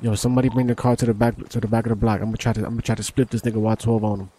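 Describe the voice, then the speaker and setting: A man's voice: on the low side, little pitch movement, rapid-fire, warm tone. A man gaming online, calling out moves in slangy street talk and repeating short commands over a voice chat. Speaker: gamer streaming online roleplay. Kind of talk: in-game roleplay chatter, calling out moves.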